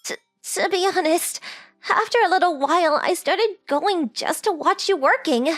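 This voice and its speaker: An actress playing a sweet, lovestruck high school girl, earnest and affectionate, in an anime-style manga dub. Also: moderate pace, fairly bright tone, no room sound. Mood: shy confession.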